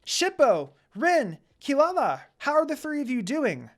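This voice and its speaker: Brief: female reader as kind young priestess in a fan fiction tale, gentle, friendly, very expressive intonation. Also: high-pitched, a little slow, pitched above the performer's own voice. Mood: excited, happy.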